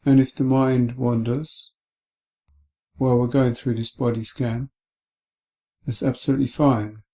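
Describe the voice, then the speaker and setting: Slow, mellow man guiding meditation. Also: medium pitch. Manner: gentle, calm, unhurried with long pauses. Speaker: meditation guide. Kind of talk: guided body scan meditation.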